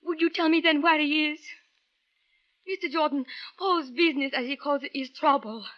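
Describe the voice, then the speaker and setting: A fairly high female voice comes through a dull vintage radio recording. It is an actress as a devoted, anxious wife who pleads earnestly in careful, formal phrases. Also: normal speed, close to an everyday voice. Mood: anxious, pleading. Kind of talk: old-time radio drama acting.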